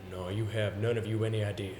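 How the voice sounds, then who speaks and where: An echoey stage recording with some background noise carries a man acting a haunted, earnest gentleman. He tells his ghostly tale in deliberate, theatrical phrases that halt and repeat. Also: fairly low pitch, little pitch movement, close to an everyday voice. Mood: agitated, haunted. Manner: deliberate, dramatic phrasing with halting repetitions. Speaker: stage actor. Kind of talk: theatrical stage monologue and dialogue.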